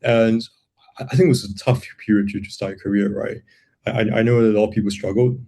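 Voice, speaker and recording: A young man from Singapore, a lawyer and software builder, explaining his views in a casual interview, with many restarts and repeated words. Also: lowish voice, steady tone, fast, gravelly. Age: young adult.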